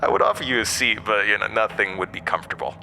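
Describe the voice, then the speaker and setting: Horror podcast villain, male actor: undying hatted entity, very bright forceful edge, mocking and weary, theatrical, faint noise behind. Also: lowish voice, big pitch swings, quick pace, gravelly, exaggerated voice. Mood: taunting, sly. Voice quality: broken-windpipe voice, borrowed from another man. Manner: mocking, theatrical, cryptic, chuckling asides. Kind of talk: horror audio drama acting.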